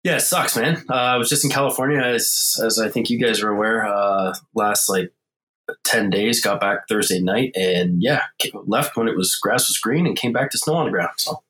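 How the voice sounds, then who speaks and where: Low-voiced man, rambling chat. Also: moderate pace, fairly bright tone, poor audio. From Canada. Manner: rambling, many fillers and restarts. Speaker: guest. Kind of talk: casual interview conversation.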